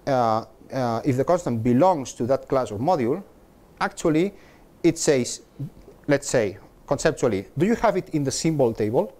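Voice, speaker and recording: A man's voice: medium pitch, expressive, moderate pace, a little mellow. A middle-aged man gives a technical talk to a meetup audience, often repeating words and restarting as he explains. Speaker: conference speaker. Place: meetup room with projector screen.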